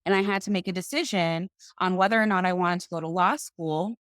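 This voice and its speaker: Woman in her 30s, lowish voice, confident storyteller, dry close sound. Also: no echo. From Boston, US. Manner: confident, deliberate, slows for emphasis. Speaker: podcast guest, financial coach. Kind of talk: interview storytelling.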